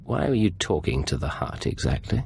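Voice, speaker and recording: A gruff sci-fi operative with an extremely deep voice that swings in pitch. He is wry and probing, in the manner of a game cutscene. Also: very animated, normal speed, darkish tone, slight background noise, heavily acted. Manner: wry, dry. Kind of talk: video game voice acting.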